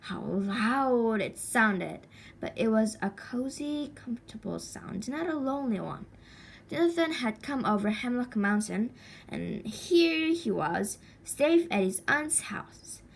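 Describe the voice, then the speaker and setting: Slow, lively woman reading a storybook. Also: on the high side, vocal fry. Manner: deliberate, dramatic pauses and stretched words. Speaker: storybook reader. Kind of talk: expressive read-aloud children's story narration. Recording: faint background noise.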